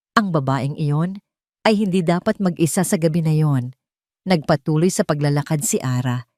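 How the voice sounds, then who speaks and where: A woman's voice: lowish voice, darkish tone, dry recording, studio quality. A woman reading a scripted dramatic story as its narrator.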